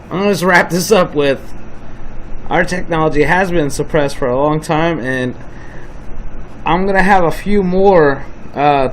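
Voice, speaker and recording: A man with a slightly high voice hosts a video, commenting casually on footage. He talks in an informal, insistent way. There is faint noise behind him. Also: poor audio. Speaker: host of a video channel.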